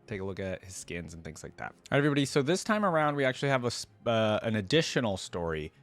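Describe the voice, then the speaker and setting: A man's voice: lowish voice, sing-song, fast. A man hosting a reaction video, talking casually and directly to viewers in a conversational way. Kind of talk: casual reaction commentary with read-aloud story passages.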